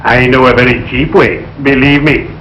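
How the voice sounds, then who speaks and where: An actor playing a solemn, courteous, morbidly genteel undertaker in a 1940s radio sitcom, with formal, lugubrious diction. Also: male voice, mid-range voice, steady tone, moderate pace, fairly bright tone, natural voice. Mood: solemn, genial. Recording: dull, noisy old radio broadcast.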